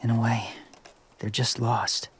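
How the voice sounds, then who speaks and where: An actor playing a gentle, solemn young survivor in a video game drama, soft-spoken, hushed and earnest. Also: male voice, medium pitch, little pitch movement, slow, slightly rough. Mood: hushed, reflective. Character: gentle, solemn young male survivor living among walkers.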